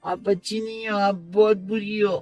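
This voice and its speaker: A man's voice: high-pitched, fairly even intonation, moderate pace, darkish tone, soft background music, rough recording. A man playing an innocent, loving boy of about seven in a cartoon, with an earnest, pleading manner. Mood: hurt, firm. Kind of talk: Hindi moral cartoon voice acting.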